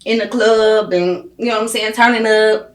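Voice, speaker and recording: A woman's voice: on the high side, fairly even intonation, moderate pace. A woman interviewing in a casual chat, blunt and emphatic, slangy and swearing freely. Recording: roomy, echoing sound.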